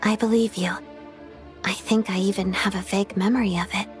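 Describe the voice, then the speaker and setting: Composed, practical game heroine, voiced by an actress. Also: female voice, medium pitch, steady tone, brisk, close to an everyday voice. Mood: reflective, agreeable. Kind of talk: video game dialogue acting. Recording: studio voice with faint game music. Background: faint music.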